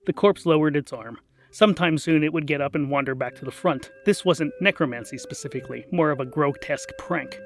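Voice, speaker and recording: A man narrating a scripted comic fantasy story in a dry, deliberate, theatrical way. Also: a little high. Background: music.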